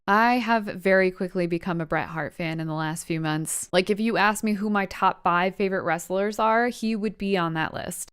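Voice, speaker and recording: A woman in her thirties with a clean, smooth voice at an average pitch. She speaks as a video host, casually addressing viewers in a lightly scripted way, with a wry and playful, self-deprecating manner. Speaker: YouTube host reviewing wrestling matches.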